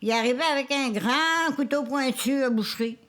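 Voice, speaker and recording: A woman in her nineties with a Charlevoix Quebec French accent, reminiscing in a halting, rambling way with many restarts. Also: high-pitched, a bit bright. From Quebec, Isle-aux-Coudres. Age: 90s. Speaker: interviewee.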